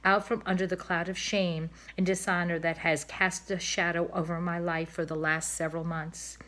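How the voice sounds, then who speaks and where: A woman's voice: lowish voice, flat delivery, normal speed, a bit bright, natural voice. A woman voicing a proud, long-winded old male swan in a storybook, with grand, declamatory, melodramatic speech. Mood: solemn, self-important. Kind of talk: storybook read-aloud character voice.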